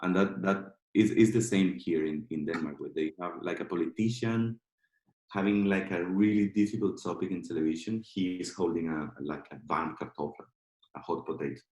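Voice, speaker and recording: Man, Colombian Spanish accent, halting casual English, echoey kitchen, video call. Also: low-pitched, steady tone, darkish tone. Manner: halting, many filler "like"s and restarts. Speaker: guest speaker. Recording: echoey room.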